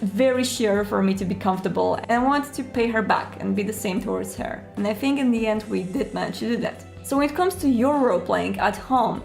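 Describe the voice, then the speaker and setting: A woman's voice: mid-range voice, gravelly, a little mellow. A woman speaking informally and expressively, telling a personal story and giving advice as a video host, with dramatic emphasis on key words. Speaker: roleplay player and video host. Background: music.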